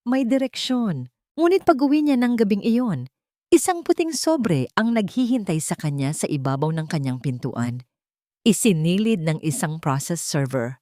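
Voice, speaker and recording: A woman's voice: low voice, lively intonation, normal speed, mellow, dry recording, studio quality. A woman with a Filipino accent reading a scripted, dramatic love story as its narrator.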